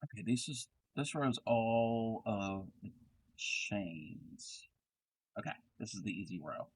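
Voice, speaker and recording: A man with a Southern American accent hosting a relaxed crochet livestream chat, his words often trailing off into murmurs while he works. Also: on the low side, slow, breathy edge, rough recording. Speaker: host.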